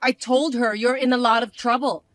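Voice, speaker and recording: A woman voicing a spoiled young stepsister who taunts and bosses in a shrill, mocking way. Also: steady tone, normal speed, no room sound, close to an everyday voice. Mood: taunting.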